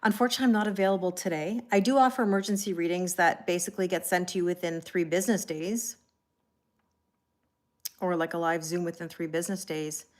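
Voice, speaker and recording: A woman's voice: on the low side, fairly even intonation, fairly quick, darkish tone. A Canadian woman, probably in her thirties, hosting a tarot live stream and chatting casually with viewers, greeting them by name and laughing often.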